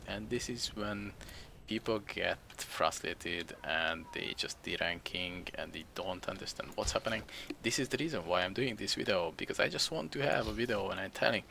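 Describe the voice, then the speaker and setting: A young Hungarian-accented man rambles through his gameplay commentary in imperfect English, often chuckling at himself. His voice sits low with a gravelly fry, yet has a bright, sharp edge. A faint hum of noise runs under him. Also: normal speed. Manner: rambling, chuckling, self-deprecating. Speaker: game streamer.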